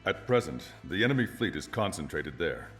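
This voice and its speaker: An actor playing a composed, authoritative air force officer giving a formal, measured briefing in a video game. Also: male voice, very deep voice, big pitch swings, fairly bright tone, faint music behind, full character voice. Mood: composed, businesslike.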